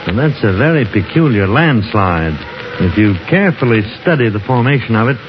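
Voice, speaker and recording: A man plays a learned professor and seasoned explorer in an old radio horror drama. His delivery is articulate and instructive, with lively swings of pitch. The sound is dull, with plenty of noise. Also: brisk, lightly acted. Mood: calm, determined. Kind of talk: 1950s radio drama acting. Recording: noisy, dull old 1950s radio recording.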